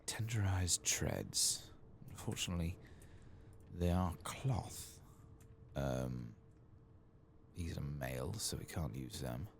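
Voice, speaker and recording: Deep, creaky, soft-spoken man. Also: takes it slowly, a little hiss or hum. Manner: murmured, casual, talking to himself. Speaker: gaming ASMR host. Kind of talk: soft-spoken, whispered game playthrough commentary.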